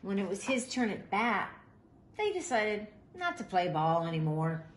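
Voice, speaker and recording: A woman reading a children's picture book aloud in an expressive, animated way, with deliberate emphasis. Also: mid-range voice. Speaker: storybook reader. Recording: roomy echo, faint background noise.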